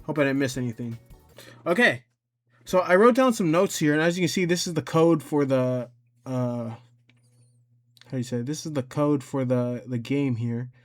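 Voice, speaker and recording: A man's voice: medium pitch, normal speed, long pauses, soft background music. A man hosting a casual how-to tutorial, talking in a hesitant, rambling way and often correcting himself. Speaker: tutorial host. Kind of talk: casual screen-recorded software tutorial.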